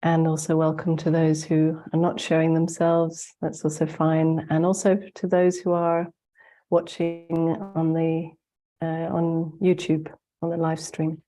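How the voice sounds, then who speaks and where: A woman hosting a spiritual webinar, gently guiding a meditation while congested from the flu, her voice sounding blocked up. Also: sits low, completely flat delivery, faint music behind. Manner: slow, soft-spoken, gently guiding. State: congested, getting over the flu. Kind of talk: guided meditation and talk.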